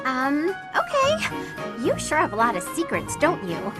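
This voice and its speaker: A woman's voice: sits high, huge pitch swings, measured pace, over music, full character voice. A woman playing a bubbly, nosy, girlish young noblewoman student in a video game, cutesy and gushing. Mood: bubbly, curious.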